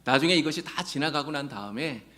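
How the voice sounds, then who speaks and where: A man's voice: a little high, animated, a little fast, sharp tone. A male pastor preaching a sermon in a church, earnestly addressing his congregation and mixing in folksy jokes. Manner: tells folksy jokes between teaching. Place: church sanctuary.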